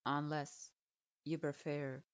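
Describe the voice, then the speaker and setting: A harsh adult male ninja instructor barks clipped orders with cold contempt, voiced by a woman reading a story. His delivery is flat and unvarying, and the sound is dry and slightly dull. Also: low-pitched, slow pace, smooth, no echo, voice pushed down. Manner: curt, cold, clipped commands.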